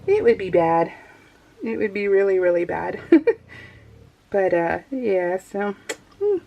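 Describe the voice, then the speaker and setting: A woman's voice: mid-range voice, animated, slow, creaky, narrow, tinny audio. A middle-aged American woman chatting casually and informally as she narrates her craft project, in a rambling, self-deprecating way. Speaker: crafting vlogger. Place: indoors at a craft table, voiced live while working.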